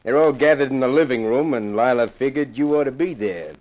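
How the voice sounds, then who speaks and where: A man's voice: medium pitch, brisk, darkish tone, close to an everyday voice. An actor playing a brusque, offhand man in a 1950s radio drama, speaking casually and bluntly. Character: brusque, offhand male house guest.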